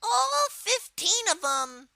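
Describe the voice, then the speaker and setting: A woman voicing a young male cartoon turtle villain while giving an informal, hesitant, unscripted software tutorial. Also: very high-pitched, sing-song, slow, gravelly, no room sound, studio quality, exaggerated voice. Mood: explaining, casual. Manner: hesitant, informal, rambling delivery. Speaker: tutorial presenter.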